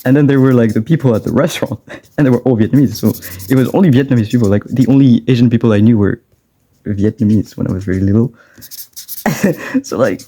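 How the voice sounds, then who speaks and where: A man's voice: mid-range voice, brisk, warm tone. A young man from France, chatting in a rambling way and laughing often while he draws for a vlog. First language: French. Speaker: drawing vlogger. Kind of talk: casual rambling chat while drawing.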